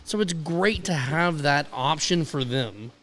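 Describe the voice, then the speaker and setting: A man's voice: fairly high pitch, expressive, unhurried, a bit bright. A man with a Southern American accent, co-hosting a casual radio podcast with teasing, upbeat banter. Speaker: podcast co-host.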